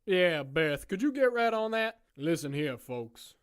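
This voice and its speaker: Guy doing a smug folksy circus owner, vain, high pitched for a man, talks slow, radio play. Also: very animated, clean tone. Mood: impatient, sarcastic. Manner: folksy, smug, drawn-out showy politeness. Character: breezy, vain showman who owns a prison circus. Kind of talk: audio drama acting.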